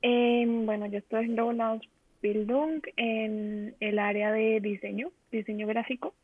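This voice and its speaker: A young Colombian woman speaks in a fairly high, even voice over a narrow, phone-like call. She answers casually as an interview guest, pausing to search for words. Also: no room sound. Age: young adult. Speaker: interview guest, design trainee. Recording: narrow telephone-like online call.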